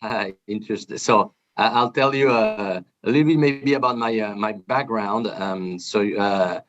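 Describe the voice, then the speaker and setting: A man's voice: lowish voice, moderate pace, a bit bright. A man from France with a French accent, a guest telling his story in a hesitant way with many "uh" fillers. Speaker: guest, brand manager. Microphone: video call. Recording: muffled video call audio.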